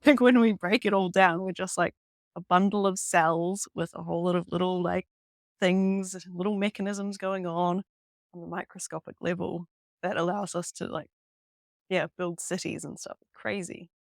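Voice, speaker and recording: A woman's voice: lowish voice, steady tone, normal speed, vocal fry, no room sound, studio quality. A woman in her twenties with a New Zealand accent, chatting casually as a podcast guest, full of "like" and "um". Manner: chatty, lots of "um" and "like", laughs easily. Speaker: podcast guest, hiking guide. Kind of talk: casual interview chat. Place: hostel room.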